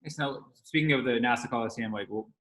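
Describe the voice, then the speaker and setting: A man co-hosting a sports podcast, asking casual questions in halting, rambling phrases with a roomy sound. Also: mid-range voice, a bit bright. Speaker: podcast co-host, interviewer.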